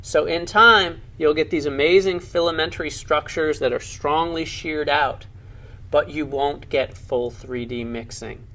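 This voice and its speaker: A man with a slightly high voice and a noticeably creaky, gravelly edge narrates an educational science film. He explains lab demonstrations like a keen teacher, leaning on key words with clear enthusiasm. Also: pushed and bright.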